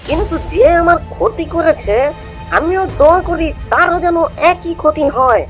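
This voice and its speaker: A woman's voice: very high-pitched, moderate pace. A woman voicing a sly, hardworking jackal shrimp seller in a Bengali cartoon, with a sing-song vendor's delivery. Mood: indignant, firm. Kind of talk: Bengali cartoon character dialogue. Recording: dull lo-fi audio with music behind.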